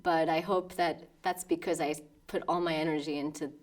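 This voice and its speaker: Thoughtful American woman pianist, slightly low. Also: fairly even intonation, normal speed, far from the mic. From New York, United States. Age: 30s. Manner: thoughtful, with soft laughs and restarts. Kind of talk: documentary interview.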